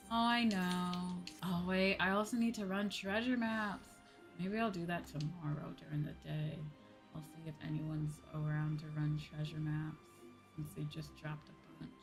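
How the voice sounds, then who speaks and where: A woman's voice: lowish voice, steady tone, a little slow, soft background music. A woman chatting casually on a livestream while she plays a game, musing aloud and repeating herself. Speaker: livestreamer playing an online game.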